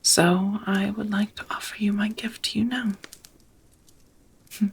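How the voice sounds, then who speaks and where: A woman playing a centuries-old vampire roommate in an intimate ASMR roleplay, tender and melancholy, whispering softly with hesitant, formal wording. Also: mid-range voice, steady tone, unhurried, full character voice. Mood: gentle, shy. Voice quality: breathy, whispery, soft.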